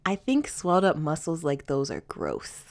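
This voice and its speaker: A woman voicing a blunt, unbothered young female fighter in an anime fan dub, mild and deadpan. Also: sits low, normal speed, heavily acted.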